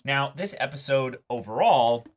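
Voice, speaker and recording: A man's voice: medium pitch, animated, a little slow. A man co-hosting a reaction show, casually discussing a TV episode in an enthusiastic, emphatic way.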